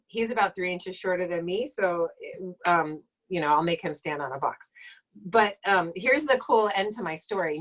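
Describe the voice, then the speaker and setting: A woman's voice: on the low side, a bit bright, rough recording. A woman in her late forties on a live stream, answering questions about her work in a chatty, digressive way with frequent fillers and self-corrections. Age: late 40s. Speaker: Egyptologist giving a talk and Q&A. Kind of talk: casual lecture and Q&A on a live stream.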